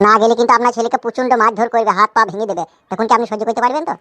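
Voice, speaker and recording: A performer voicing a servile, anxious henchman in an animated comedy, obsequious and hurried. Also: mid-range voice, no room sound, lightly acted. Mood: urging.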